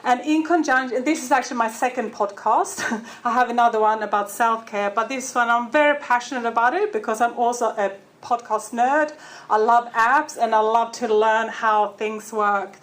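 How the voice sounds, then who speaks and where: A woman from Sweden, giving a short, friendly pitch for her podcast at a networking event. She laughs often and sometimes stops to look for a word. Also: moderate pace, fairly bright tone. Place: event room with an audience.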